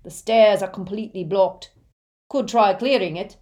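A woman voicing a cool, sharp male henchman wizard in an audiobook, speaking tersely. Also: medium pitch, normal speed, pitched above the performer's own voice, close to an everyday voice. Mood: cool, wary.

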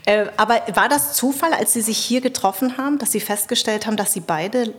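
A woman's voice: a little high, fairly quick, a bit bright. Curious woman podcast host, reverberant sound. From Germany. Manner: curious, encouraging interviewer. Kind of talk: casual interview talk.

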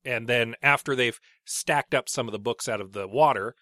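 A man's voice: mid-range voice, moderate pace, no echo. A man co-hosting a casual book podcast, a little phlegmy from allergies, recapping the chapter with dry humor. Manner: recapping chapters in a relaxed, wry way. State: congested with allergies. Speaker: podcast co-host.

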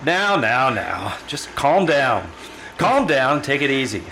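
A man playing a calm, brisk doctor in an old-time radio drama, questioning and reassuring in a measured way. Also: mid-range voice, creaky, a little hiss or hum, full character voice, poor audio. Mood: composed, probing.

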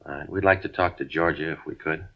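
A terse, deadpan police detective who fires off clipped questions in a very deep voice at a quick clip. The sound is dull and lo-fi, like an old 1950s radio broadcast, with faint music behind him. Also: male voice. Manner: clipped, matter-of-fact questioning. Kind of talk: 1950s radio drama acting.

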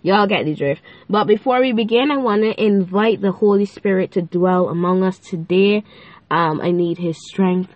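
A woman's voice: mid-range voice, moderate pace, no echo, dull audio. A fifteen-year-old girl hosting her own faith podcast, chatty and playful, with a stuffy, nasal voice and a lilt. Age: teens. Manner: bubbly, chatty, playful, many fillers. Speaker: podcast host. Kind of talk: casual faith podcast chat.

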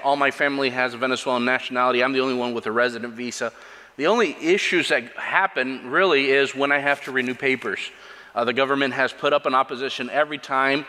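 A man's voice: mid-range voice, pushed and bright. A man speaking as a visiting missionary at a church service, earnest and plainspoken, with frequent restarts. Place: church sanctuary. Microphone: microphone over a church sound system. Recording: long church hall reverb, faint music.

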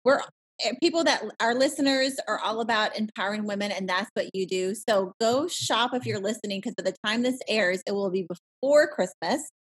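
A woman hosts a podcast interview in an upbeat, encouraging tone. Her voice has a slightly husky, breathy edge. She is speaking over a video call. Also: medium pitch, brisk. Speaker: podcast host. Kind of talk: casual interview chat.

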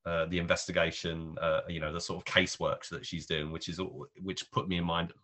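A man with a very deep voice and a crisp, bright tone talks through a film review. His delivery is casual and rambling, full of hesitant, trailing clauses as he thinks aloud. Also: flat delivery, brisk.

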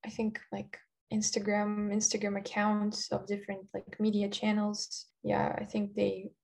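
A young woman from Kazakhstan whose first language is Kazakh, answering interview questions casually and often pausing on "like" while searching for words. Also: mid-range voice, completely flat delivery, vocal fry, a little mellow. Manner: chatty, many "like" fillers, searches for words. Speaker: interview guest.

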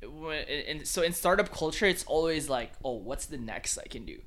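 A man's voice: fairly high pitch, animated, normal speed, fairly bright tone. A man from the Philippines who works as a photographer, chatting casually and filling his talk with "like" and "man". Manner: laid-back, many fillers like "like" and "man". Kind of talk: casual chat.

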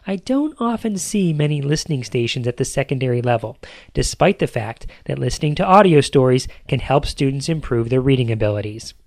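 A man's voice: fairly high pitch, a little mellow, no room sound, studio quality. An American man narrating a scripted podcast as host, with an emphatic, upbeat presenter delivery.

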